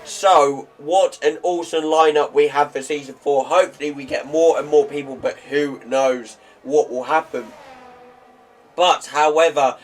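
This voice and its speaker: A man with a slightly croaky voice commentating a sim racing league, chatty and rambling with fillers and self-corrections. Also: fairly high pitch, fairly even intonation, a little slow, slight background noise. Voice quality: slightly hoarse.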